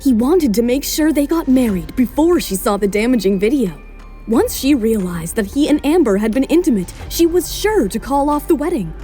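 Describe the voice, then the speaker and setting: A female narrator reads scripted drama in a high voice. Her tone is mellow and dark-edged, with faint music behind it. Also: animated, moderate pace.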